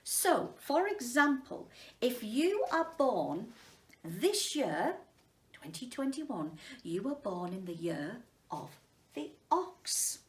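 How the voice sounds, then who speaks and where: A woman with a fairly high voice reads to children at a slow pace. She speaks to her young listeners directly, as at a school storytime. The recording is roomy, picked up some way from the mic. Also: big pitch swings. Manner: unhurried, addressing children directly. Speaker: headteacher reading to children. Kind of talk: children's storytime reading and explaining. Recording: roomy echo, distant mic.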